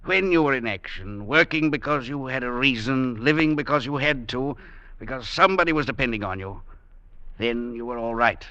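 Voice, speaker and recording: An actor playing a thoughtful, probing male doctor in an old-time radio drama, measured and deliberate in delivery. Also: mid-range voice, lively intonation, pushed and bright, no echo. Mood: earnest, persuasive. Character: thoughtful, probing male physician and husband from London. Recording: dull vintage radio recording, faint music.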